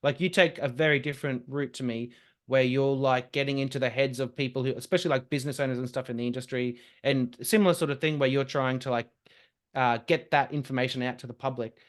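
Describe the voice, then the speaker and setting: A young man, a podcast guest chatting casually and telling lively stories. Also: mid-range voice. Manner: lively, quick storytelling with laughs and restarts. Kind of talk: casual conversation.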